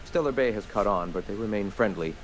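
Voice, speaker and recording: An actor voicing a genteel, eccentric rebel leader in a video game, speaking in a wordy, theatrical, idealistic way. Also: male voice, lowish voice, animated, brisk, a little hiss or hum, lightly acted, rough recording. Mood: earnest, explaining. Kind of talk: video game dialogue acting.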